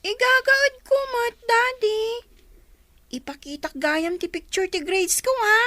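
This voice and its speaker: A woman's voice: extremely high pitch, expressive, slow, pushed and bright, heavily acted. A woman playing a young schoolgirl daughter who pleads and explains earnestly in a radio comedy-drama. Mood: eager.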